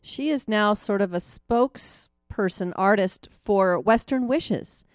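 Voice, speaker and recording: A woman's voice: mid-range voice, slow, no room sound, clean studio sound. A woman co-hosting and interviewing in a casual, chatty style. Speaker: podcast co-host and interviewer. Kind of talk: casual friendly chat.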